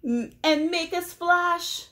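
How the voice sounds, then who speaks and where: Woman putting on high, eager storybook animals. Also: a bit bright, heavily acted. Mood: cheery urging. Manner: sing-song, drawn-out, exclaiming. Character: eager, bossy storybook animals giving cheery advice.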